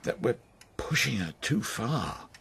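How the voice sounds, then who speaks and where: A man's voice: mid-range voice, sing-song, slow, creaky, a bit bright, slight background noise, exaggerated voice. An actor playing a stubborn, proud older English farmer and father in a naturalistic radio soap. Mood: desperate, pleading. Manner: theatrical, sardonic, sometimes pleading.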